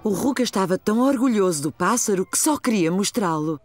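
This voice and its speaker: A woman's voice: mid-range voice, lively intonation, brisk, darkish tone. A woman with a European Portuguese accent reading scripted story narration for a children's cartoon. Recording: faint music behind the voice.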